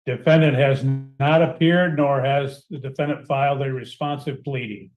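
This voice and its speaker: A man acting as judge in a court hearing, reading out rulings in a formal, measured way. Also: medium pitch, fairly even intonation, highs cut off, soft background music. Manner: measured, formal, reads out orders.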